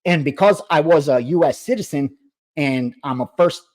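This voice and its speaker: A man's voice: a little high, moderate pace. A middle-aged man whose first language was Greek, hosting a sports betting show and giving a heated, emphatic monologue with swearing and repeated phrases. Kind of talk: impassioned monologue.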